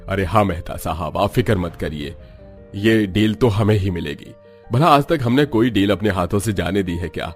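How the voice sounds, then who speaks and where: पुरुष की आवाज़ में रौबदार अमीर बुज़ुर्ग कारोबारी. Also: male voice, low-pitched, animated, normal speed, soft background music. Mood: businesslike. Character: wealthy businesslike older family patriarch.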